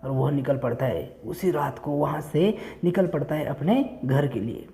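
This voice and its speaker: A man's voice: fairly high pitch, normal speed, vocal fry, warm tone. A man telling a moral story and addressing listeners directly, in simple, repetitive, explanatory phrasing. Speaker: storyteller and channel host.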